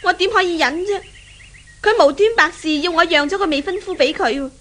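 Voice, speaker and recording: Young lady, proud, high-pitched, Hong Kong Cantonese accent, 1970s radio play. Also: a little fast, a bit bright. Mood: indignant. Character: proud, indignant young engaged woman.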